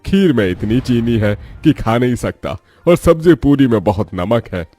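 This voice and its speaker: A man's voice: medium pitch, lively intonation, moderate pace, mellow, soft background music, close to an everyday voice. A man voicing an approving, protective elderly father-in-law in a family story, plain-spoken and reassuring. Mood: apologetic. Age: older.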